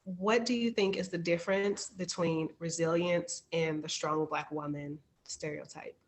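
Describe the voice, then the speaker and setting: A woman's voice: on the low side, fairly even intonation, unhurried. A woman hosting a live video panel discussion, affirming her guest's answers and asking questions. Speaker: host. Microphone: video call.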